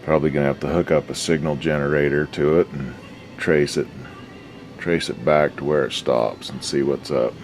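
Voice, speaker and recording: A man with an extremely low, deep voice chats casually through a hands-on repair job. His delivery is folksy and slangy, muttered as he works, with faint music somewhere behind him. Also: steady tone, normal speed. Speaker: hobbyist radio restorer and video host. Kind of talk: casual unscripted workbench commentary.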